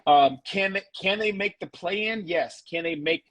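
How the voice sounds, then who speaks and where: A man's voice: high voice, measured pace, fairly bright tone. A man talking sports as a guest on a casual show, laid-back, often repeating words as he works out his point.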